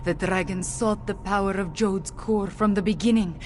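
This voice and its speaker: An actress voicing a grave, determined female guardian in a fantasy video game, speaking in a solemn, deliberate, declamatory way. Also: mid-range voice, steady tone, a little hiss or hum, lightly acted. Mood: grave, reflective.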